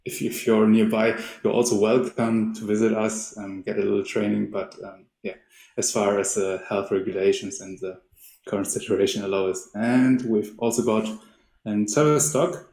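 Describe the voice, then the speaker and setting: Low-voiced, halting man in an echoey room. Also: steady tone, darkish tone. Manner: halting, hesitant delivery. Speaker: company representative presenter. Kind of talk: webinar presentation. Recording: roomy, echoey sound.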